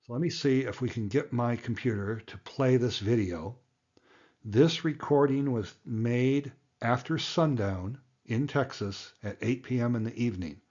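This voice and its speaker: A man's voice: medium pitch, darkish tone, highs cut off. A man giving a plain-spoken, emphatic tutorial as an instructor, explaining things step by step. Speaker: amateur radio instructor and presenter.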